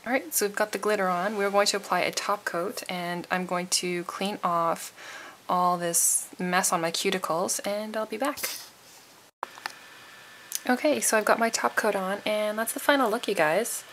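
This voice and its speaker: A woman's voice: medium pitch, fairly even intonation, moderate pace, a bit bright, a little hiss or hum. A woman giving a casual, chatty tutorial voice-over, sounding a little tired and froggy. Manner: informal, chatty. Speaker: nail art tutorial host.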